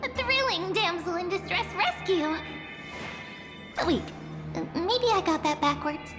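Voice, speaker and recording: High, coy, playful memory sprite. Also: female voice, animated, takes it slowly, full character voice. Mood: playful, confident. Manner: coy, wry, theatrical. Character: mysterious cute female memory sprite, playful and teasing. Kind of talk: game voice acting, dramatic dialogue. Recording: long reverb, loud music behind.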